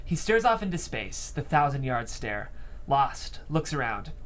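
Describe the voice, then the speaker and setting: A man's voice: mid-range voice, very animated, measured pace, a bit bright, a little hiss or hum. A man reading scene headings and stage directions as narrator of a script table read.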